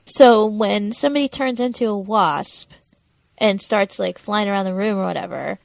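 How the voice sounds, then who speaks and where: A woman's voice: mid-range voice, moderate pace, vocal fry, dry recording. A woman co-hosting a podcast, casually talking through the plot of a TV episode. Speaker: podcast co-host.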